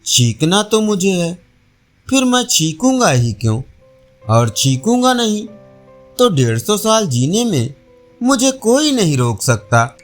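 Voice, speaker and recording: A man's voice: high voice, sing-song, unhurried. A man voicing a stubborn, simple-minded farmer of seventy in a storybook tale, fretting and musing aloud. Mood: self-satisfied. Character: stubborn, dim-witted seventy-year-old village farmer, anxious. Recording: faint music behind voice.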